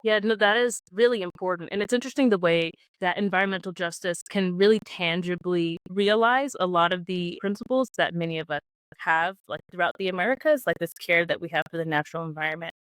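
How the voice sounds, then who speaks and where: A woman's voice: on the low side, a little fast, clean tone, no echo, poor audio. Fluent woman podcast host, dry sound. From US mainland, Puerto Rican heritage. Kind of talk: interview questions.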